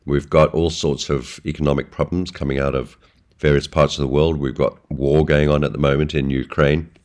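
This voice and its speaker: A man with an extremely deep, low voice that is hoarse from a flu. He talks through a weekly trading update in a relaxed way, with plenty of ums and uhs. It sounds like he is recording a voice-over at a home desk. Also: moderate pace. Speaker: forex trading analyst. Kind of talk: screen-share market update.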